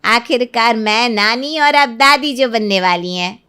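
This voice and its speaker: A woman playing a smooth-talking, manipulative middle-aged mother-in-law in a Hindi cartoon story, persuasive and full of feigned concern. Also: a little high, a little slow, pushed and bright. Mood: sly persuasion.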